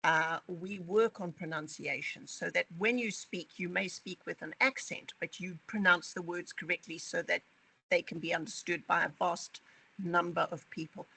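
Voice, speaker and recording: Gravelly husky voice, bright edge, emphatic speaker, repeats words, corporate interview. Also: medium pitch, fairly even intonation, normal speed, poor audio. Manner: emphatic, repeats words while searching for phrasing. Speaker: interviewee from a language training company.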